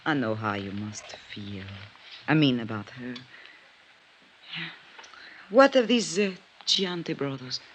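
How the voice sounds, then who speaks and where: An actress playing a sorrowful, devout housekeeper in a 1950s radio drama, halting, polite and emotional. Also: female voice, a bass voice, expressive, full character voice. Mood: flustered, polite. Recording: noisy old radio recording.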